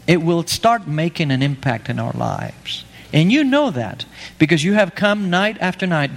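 A man from Argentina preaching an evangelistic sermon, emphatic and pleading, repeating his key phrases. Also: fairly high pitch. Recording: long reverb of a hall.

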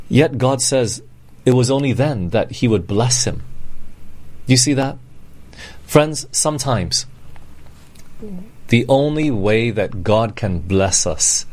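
A man's voice: on the low side, a little mellow. Male preacher, emphatic and conversational. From born in Singapore, grew up in Malaysia, England and Australia. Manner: emphatic, with rhetorical questions and checks to listeners. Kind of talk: conversational sermon.

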